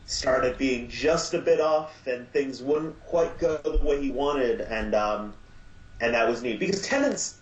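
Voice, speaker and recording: A man's voice: fairly high pitch, a little hiss or hum. A man chatting casually on a podcast, musing at length with many restarts and fillers. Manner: thoughtful, rambling with many restarts. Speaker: podcast guest. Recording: roomy home podcast audio.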